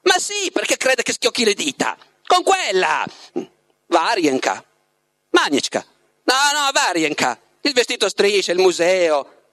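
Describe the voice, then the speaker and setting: A lovestruck, troubled writer, performed by a male reader confides his story dramatically in a fairly high male voice. He turns to his listener with questions as in a literary monologue. The sound is close and dry. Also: sing-song, unhurried, sharp tone, no room sound, exaggerated voice. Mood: flustered, impatient. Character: lovestruck, tormented male writer in a psychiatric clinic.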